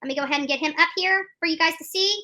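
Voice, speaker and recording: Casting: woman live commentator, very bright forceful high voice, opinionated, repeats herself for emphasis. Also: rapid-fire. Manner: emphatic, repeats phrases for stress. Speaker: streamer commenting on court news.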